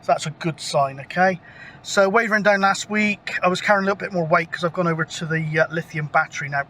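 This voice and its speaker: A man's voice: high-pitched, quick pace, pushed and bright. A British man in his fifties chatting casually and ramblingly to camera, with mild swearing. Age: 50s. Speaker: CB radio hobbyist, vlogger. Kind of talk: casual rambling vlog chat. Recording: outdoor camera mic with clear background noise.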